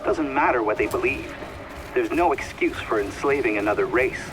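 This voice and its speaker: An actor playing an earnest, compassionate companion with measured speech in a video game cutscene. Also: male voice, medium pitch, animated, background noise, natural voice. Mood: indignant. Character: earnest, compassionate male companion, troubled and morally indignant.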